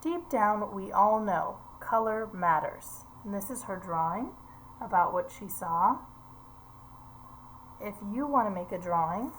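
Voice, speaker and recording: A woman's voice: medium pitch, a little hiss or hum, poor audio. A woman reading a picture book aloud to young children, explaining as she goes and putting simple questions to her listeners.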